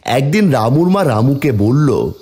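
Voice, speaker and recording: A man narrating a scripted fairy tale as a storyteller for an animated video. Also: medium pitch, unhurried, husky.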